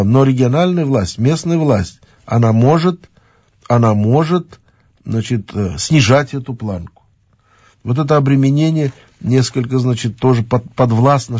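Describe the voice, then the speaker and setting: A middle-aged man speaking as a regional official in a halting official address, with frequent fillers and restarts. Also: medium pitch, a little mellow, slightly rough, dry recording, dull audio.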